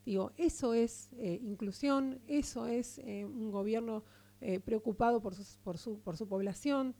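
An Argentine woman speaks as a radio interview guest, with a gravelly edge running through her voice. Her tone is mellow and dark, and she argues with earnest, steady conviction, restarting phrases as she goes. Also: on the high side, measured pace. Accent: Argentine Spanish. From Argentina, Partido de la Costa. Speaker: interviewed guest, local political figure.

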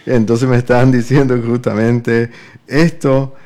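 Guy hosting the show, mid-pitched voice, easygoing and agreeable. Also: flat delivery, measured pace, dark tone. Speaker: radio or show host. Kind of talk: casual interview chat.

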